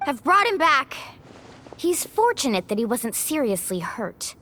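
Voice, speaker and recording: A woman's voice: high-pitched, big pitch swings, measured pace, noisy background. A woman voicing a brave, resolute young female guide in a video game, firm and earnest, with music behind her.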